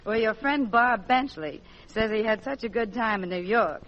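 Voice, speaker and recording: A woman's voice: a little high, moderate pace. A woman delivering scripted Hollywood gossip as a columnist guest on a 1940s radio show. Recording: dull 1940s radio broadcast recording.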